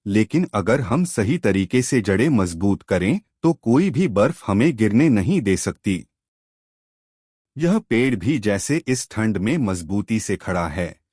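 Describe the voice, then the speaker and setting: A man's voice: fairly low pitch, a little mellow, husky, no room sound, clean studio sound, full character voice. A man voicing a tender young wife in a storybook tale, speaking earnestly and wistfully. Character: tender young wife who loves snowy forests. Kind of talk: storybook dialogue.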